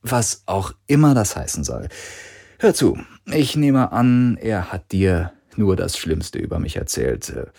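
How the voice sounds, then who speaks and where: Male reader as smug sly man, low-pitched, husky, sardonic, probing, drawn-out delivery, audiobook antagonist. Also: sing-song, warm tone, full character voice. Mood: smug, teasing. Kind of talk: audiobook character voice.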